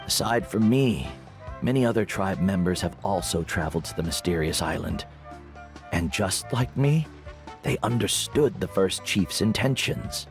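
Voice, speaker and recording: An actor playing a veteran guide and teacher who speaks in a measured, reflective way in game dialogue. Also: male voice, low-pitched, lively intonation. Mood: steady. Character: older male guide mentor, calm and wise. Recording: game audio with faint music.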